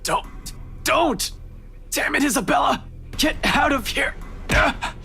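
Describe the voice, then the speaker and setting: A woman's voice: high voice, takes it slowly, creaky, sharp tone, soft background music, pitched above the performer's own voice, heavily acted. A woman voicing a wounded, choking heroine in a visual novel, desperately protective, strained and pleading. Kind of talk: visual novel character voice-over.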